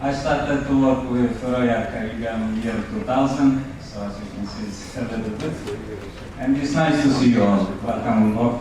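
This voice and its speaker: A man's voice: mid-range voice, moderate pace. A middle-aged man with a Polish accent briefly introducing himself to an audience as a chief engineer. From Poland. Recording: distant, very echoey noisy room with crowd.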